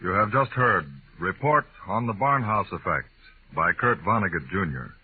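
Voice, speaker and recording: A man announcing a 1950s radio show in a grand, deliberate, dramatic style. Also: fairly low pitch, lively intonation, breathy edge. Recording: tinny, band-limited old radio broadcast. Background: music.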